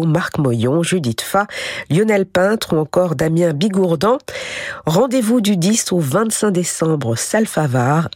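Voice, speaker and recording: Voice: mid-range voice, no echo. Radio announcer reading culture news. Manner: smooth, even announcing. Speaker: radio presenter. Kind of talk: scripted news bulletin reading. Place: radio studio.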